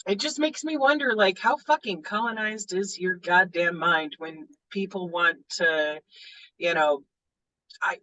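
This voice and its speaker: A lively book club member in casual discussion, laughing often and swearing between crude jokes. The voice is bright and sharp-edged at an average pitch. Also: unhurried, poor audio.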